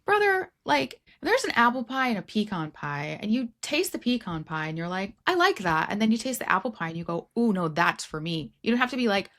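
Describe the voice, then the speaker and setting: A woman podcast host talks casually and frankly, with a mid-pitched voice. She peppers sentences with "like", swears lightly and acts out imagined lines in a joking way. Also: fairly quick, hardly any pauses. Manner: animated quoting and role-playing of imagined dialogue, frank and joking; uses "like" frequently and swears casually. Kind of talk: casual book discussion.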